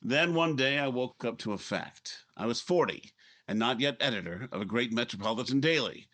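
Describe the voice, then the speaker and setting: A man's voice: medium pitch, expressive, normal speed, sharp tone. A man playing a brash, driven newspaper editor in his forties, curt and self-assured, in a 1950s radio drama. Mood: driven, reflective. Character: brash, driven forty-year-old male newspaper editor. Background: music.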